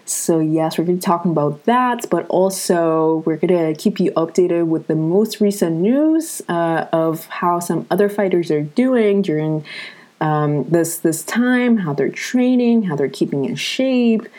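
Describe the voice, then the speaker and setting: A woman's voice: lowish voice, animated, measured pace, mellow. A young woman in her twenties hosting a show, chatting casually to viewers with many fillers while coming down with a cold. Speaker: host.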